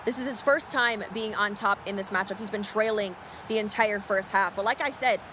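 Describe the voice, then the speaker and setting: A woman's voice: mid-range voice, fairly quick. Upbeat, fluent female esports caster from the US, with a faint noise bed. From United States. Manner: fluent, upbeat, reads chat questions. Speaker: esports commentator. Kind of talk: live esports commentary. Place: event venue.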